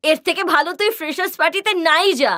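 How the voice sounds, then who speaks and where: A woman's voice: extremely high pitch, fast, bright, forceful tone. A woman voicing a spiteful, haughty cartoon woman who mocks and scolds her relative. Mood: mocking. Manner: mocking, scornful, scolding. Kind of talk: cartoon story dialogue.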